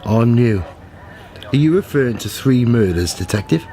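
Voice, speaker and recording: A man's voice: mid-range voice, animated, normal speed, dark tone, close to an everyday voice. A man in his fifties playing a cynical, world-weary journalist in a radio play, with a wry, dry manner. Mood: cheeky, probing. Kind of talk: radio play narration and dialogue.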